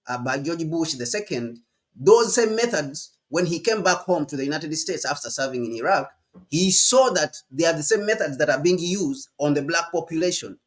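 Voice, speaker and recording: An insistent man from Uganda delivers political commentary in a fairly high voice. He hammers his points home, says phrases over again, and keeps tagging them with "all right?". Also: a bit bright. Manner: emphatic, repeats phrases and asks "all right?".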